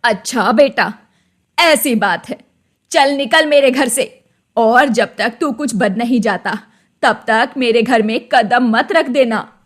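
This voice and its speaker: A woman's voice: fairly high pitch, slow pace. A woman voicing a scolding, anxious old village mother in a cartoon moral story, with an exasperated, lamenting, dramatic delivery.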